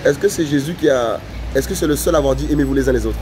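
A man's voice: mid-range voice, quick pace. A man interviewing as a street evangelist in a conversational, insistent way, often repeating himself. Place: outdoors on a city street. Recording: outdoor street noise.

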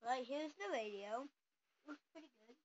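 High-pitched young-sounding host, noisy dull recording. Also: expressive, moderate pace. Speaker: unboxing video host. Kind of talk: casual unboxing chat. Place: small room at home, indoors. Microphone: handheld camera or phone. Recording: noisy, dull handheld camera audio.

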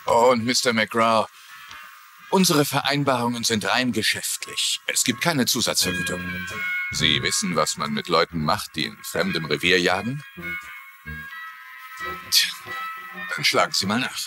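An actor playing a cold, condescending, businesslike fight promoter in a TV crime drama dub. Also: male voice, lowish voice, lively intonation, a little slow, long pauses, music in the background. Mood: cold, threatening. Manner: curt, condescending. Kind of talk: German-dubbed TV crime drama.

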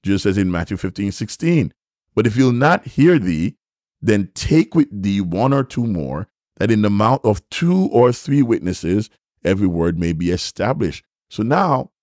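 A middle-aged man teaches from the Bible like a preacher at a desk. His voice sits on the low side, and he is deliberate, restating each point for emphasis. The sound is dry and close on the microphone. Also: very animated, darkish tone, no echo. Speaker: bishop and host of a church teaching show. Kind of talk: sermon-like Bible teaching to camera. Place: small furnished room, indoors. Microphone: microphone on a stand, close in front.

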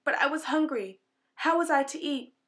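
A woman's voice: high-pitched, animated, normal speed, a bit bright, voice pushed up. A woman reading as an earnest young chief. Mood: pleading. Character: orphaned boy who becomes a generous chief.

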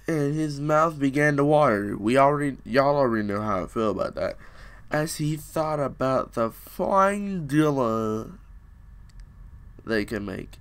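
A man's voice: medium pitch, expressive, a little slow, clean tone. A young man reading a fairy tale aloud in a small room, joking in slang and breaking off to riff, close to a webcam. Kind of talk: comedic storybook reading with asides.

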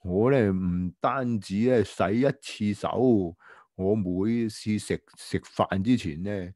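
A man voicing an apologetic, careless young boy in a children's radio drama, with drawn-out, hesitant excuses. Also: on the low side, darkish tone, no echo, studio quality. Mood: agreeable, earnest.

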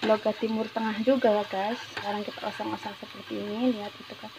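Casting: woman, home cook vlogger, mid-pitched, chatty and unscripted, phone-recorded in a noisy kitchen with sizzling. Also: level tone, fast talker, creaky. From Indonesia. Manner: chatty, repetitive, peppered with English words. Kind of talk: casual unscripted cooking tutorial. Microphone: handheld phone behind the camera. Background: frying sizzle, stir noises.

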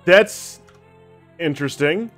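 A man hosting a group game dub stream, chatting casually in an excitable way over game music. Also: on the high side, slow. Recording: music behind the voice.

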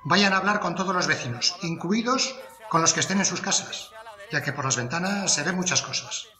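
A man performs a methodical police inspector in a crime audiobook, with a forceful, bright voice pitched a little high. He sounds commanding and orderly. The voice sits in a roomy echo with music underneath. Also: animated, a little fast. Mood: authoritative. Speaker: actor in an audiobook. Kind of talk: dramatised crime audiobook dialogue.

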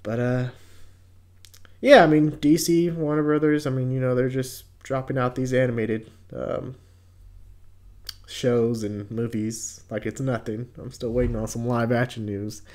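A man's voice: mid-range voice, fairly even intonation, measured pace, darkish tone. An American man in his twenties with a mid-range voice hosts a casual commentary video. He talks in a laid-back, slangy way and often sounds hesitant as he gives his take. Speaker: YouTube host reviewing entertainment news.

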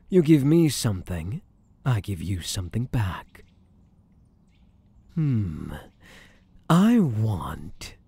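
A man's voice: fairly low pitch, mellow, husky, no room sound, heavily acted. A man playing a smug, possessive, flirtatious mafia boss in an intimate ASMR roleplay, with a teasing, drawling, menacingly sweet manner.

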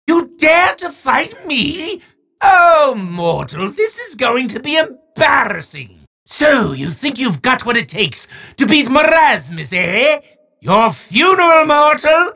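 An actor playing a maniacal, bombastic male evil wizard in a video game, grandiose and theatrical, with booming declarations and quicker asides. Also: sing-song, vocal fry, sharp tone, full character voice. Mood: menacing, gloating.

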